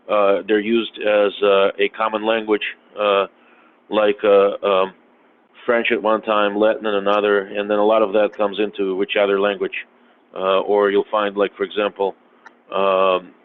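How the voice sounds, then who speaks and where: A man in casual podcast conversation, talking haltingly with many fillers and restarts. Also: on the low side. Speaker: podcast guest. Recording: tinny phone-like sound, faint noise.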